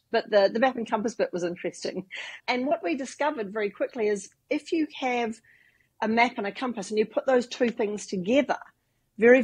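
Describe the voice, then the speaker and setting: A woman's voice: fairly high pitch, slightly rough. A woman in her fifties from New Zealand, with a New Zealand accent, chatting as a podcast guest and explaining things with long winding examples. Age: 50s. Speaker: podcast guest, branding expert. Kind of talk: casual interview talk.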